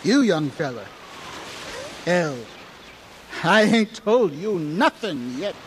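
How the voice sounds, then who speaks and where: A man's voice: high voice, big pitch swings, slow pace, a little hiss or hum, exaggerated voice. An actor playing a frightened, half-mad old drunken townsman in an audio horror drama, cackling and rambling in folksy dialect. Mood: urgent, ominous. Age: older.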